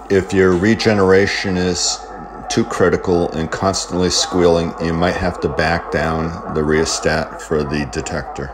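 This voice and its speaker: A man's voice: low voice, noisy background. A man narrating an instructional demonstration in short, terse phrases that name one part after another. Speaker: video host, radio demonstrator.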